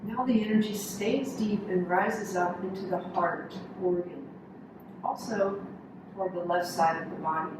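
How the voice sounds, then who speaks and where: A woman yoga instructor leading a guided body scan meditation, calmly giving instructions in short, measured phrases with pauses. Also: lowish voice. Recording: echoey room, distant mic, noisy background.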